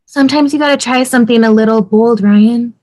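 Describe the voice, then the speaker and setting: A woman's voice: fairly high pitch, fairly quick, darkish tone, close to an everyday voice. A woman in an amateur table read playing an exasperated, teasing young wife. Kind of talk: amateur table read acting over video call.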